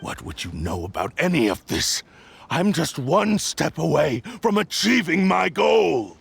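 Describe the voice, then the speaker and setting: A bitter, obsessed sword spirit for a video game. The speech is slow and grandly formal, swinging widely in pitch from brooding to forceful, with a gravelly creak. It is haughty and theatrical throughout. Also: male voice, very animated, no room sound, heavily acted. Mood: furious, defiant. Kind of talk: dramatic video game voice acting.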